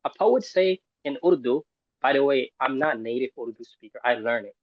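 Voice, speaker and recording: A man's voice: mid-range voice, lively intonation, normal speed, no echo. Man on dull, dry lo-fi audio. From the East. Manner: non-native, simplified English grammar. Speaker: discussion participant. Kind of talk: informal personal remarks.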